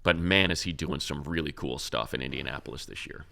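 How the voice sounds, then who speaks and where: A man with a very deep voice full of gravelly fry talks football as a show's co-host. His tone has a bright, forceful edge. He rambles through animated analysis, often restarting his sentences. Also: a little fast. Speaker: radio play-by-play announcer, co-host. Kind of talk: casual sports talk.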